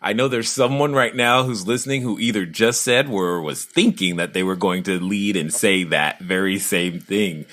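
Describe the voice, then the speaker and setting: A man's voice: sits low, moderate pace, few pauses, a bit bright. A male podcast host interviewing a guest, full of asides, easy laughs and restarted words. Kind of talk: interview, casual chat.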